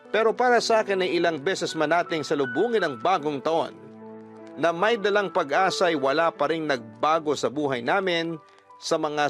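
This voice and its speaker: Male actor as wistful Filipino narrator, scripted radio drama, somewhat high pitch, earnest, measured, faint music behind. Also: fairly bright tone, lightly acted. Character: letter sender sorrowfully recalling a poor childhood.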